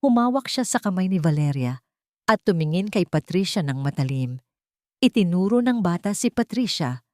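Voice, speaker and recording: A woman narrates a dramatic audiobook from a script. Her voice sits a little low for a woman. The recording is close and dry, with no room sound. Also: animated, normal speed, dark tone, no echo.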